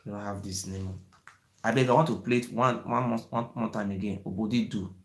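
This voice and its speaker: Guy ranting on his channel, keeps saying "you see", gets loud, echoey room. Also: fairly low pitch, a little fast. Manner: excited, rising to near-shouting, repetitive "you see". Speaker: channel host. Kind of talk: impassioned monologue.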